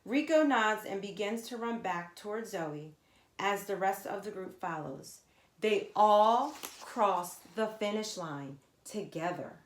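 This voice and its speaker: Female children's read-aloud narrator, expressive and animated teacher delivery, lively intonation, roomy echoing sound. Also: medium pitch, slow. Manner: emphatic, animated storytelling, addressing young listeners.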